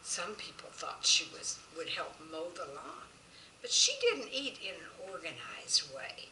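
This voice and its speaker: A woman reading her own children's story aloud with an expressive, folksy storytelling delivery, recorded roomily from a distance. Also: on the low side, measured pace. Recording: roomy, distant mic with faint noise.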